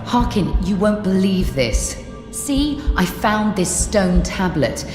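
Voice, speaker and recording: A woman's voice: medium pitch, big pitch swings, slow pace. A woman playing a confident, wry treasure seeker in a video game, with a teasing, sardonic way of talking. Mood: eager, intent. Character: wry, confident female treasure-seeking adventurer. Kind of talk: video game character acting. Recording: reverberant hall with music behind.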